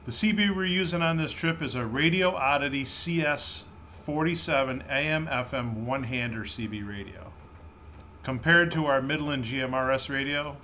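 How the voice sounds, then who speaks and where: A man giving casual, informal vlog narration, explaining gear step by step in a plainspoken way. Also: mid-range voice. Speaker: vlogger testing CB radio gear. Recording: steady background noise, vehicle sounds.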